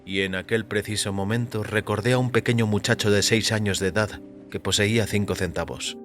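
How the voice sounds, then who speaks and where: A man narrates audio fiction in a fairly low voice. His delivery is measured and deliberate, like a storyteller reading in the first person. Soft music plays underneath. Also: steady tone. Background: background music.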